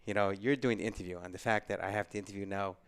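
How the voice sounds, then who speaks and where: A man hosting a podcast interview, effusive and quick to laugh, with halting restarts. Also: lowish voice, steady tone, smooth. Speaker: podcast host. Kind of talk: casual interview.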